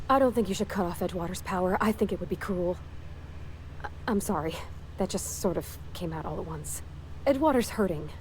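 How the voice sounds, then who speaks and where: A woman's voice: medium pitch, a little mellow, a little hiss or hum, close to an everyday voice. A woman voicing an earnest, kind-hearted young colony mechanic in a video game, hesitant and apologetic, with folksy phrasing. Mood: earnest pleading, then apologetic, sorrowful. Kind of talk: naturalistic video game dialogue acting.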